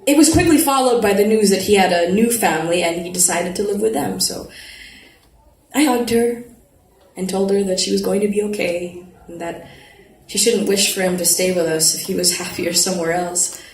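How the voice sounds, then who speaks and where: A woman reads a first-person story aloud at a live event in an expressive, conversational way. Her pitch is average and her manner playful. The space is roomy, with some noise behind her. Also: normal speed. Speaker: reader of a first-person novel. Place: live literary event at an arts festival.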